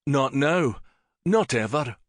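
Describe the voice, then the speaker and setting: A man voicing a grim, hardened young warrior who speaks with slow, deadly emphasis on each word. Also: mid-range voice, fairly even intonation, fairly bright tone, dry recording, clean studio sound, voice pushed up. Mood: cold.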